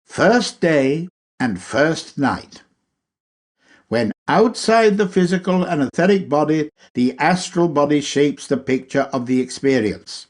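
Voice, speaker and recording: A man reading a spiritual lecture aloud in a deliberate, measured way, with emphatic pauses. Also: a little high, lively intonation. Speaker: reader.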